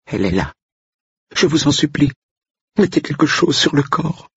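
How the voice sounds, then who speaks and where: A man's voice: very animated, moderate pace, breathy edge, dry recording, muffled recording, full character voice. A man reading an audiobook, voicing a frightened, tearful woman cook who whimpers and shrieks. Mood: distraught. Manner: shrill, whimpering, panicky.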